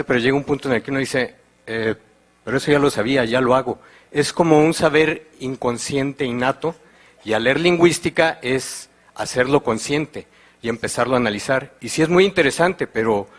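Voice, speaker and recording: A man's voice: mid-range voice, fairly bright tone. A young man in the audience asking a rambling, hesitant question, with filler words.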